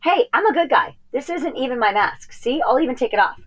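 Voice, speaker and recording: A woman voicing an indignant, desperate young boy adventurer with drawn-out, wailing exclamations in a storybook. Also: high-pitched, lively intonation, a bit bright, slightly rough, voice pushed up, full character voice. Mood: pleading.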